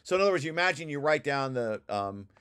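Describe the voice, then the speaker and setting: A man giving an informal technical walkthrough as a presenter, with halting, hesitant explanations and repeated words. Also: on the high side, lively intonation, a bit bright, clear, resonant tone. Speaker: presenter reviewing own technical paper.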